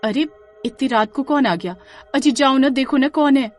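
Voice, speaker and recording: A woman's voice: high-pitched, moderate pace, faint music behind, voice pushed up, lightly acted. A woman voicing a plucky, sensible village wife in a horror story, with a pleading, chiding manner. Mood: nagging.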